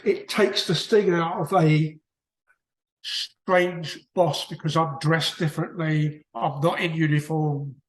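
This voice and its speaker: A man's voice: fairly high pitch, reverberant, poor audio. A man from the UK with a British accent, chatting casually in a book club discussion, rambling and often restarting his sentences. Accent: British English.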